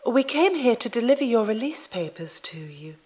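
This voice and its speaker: A woman playing a polite, solemn religious sister in an audio drama, formal and gentle. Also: fairly high pitch, big pitch swings, moderate pace, husky. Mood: solemn, courteous.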